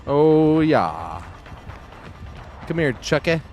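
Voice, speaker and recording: A man's voice: a little high, very animated, drawn-out words, a little mellow, slight background noise. A man live-streaming a game, giving laid-back, casual commentary with some swearing and talking half to himself.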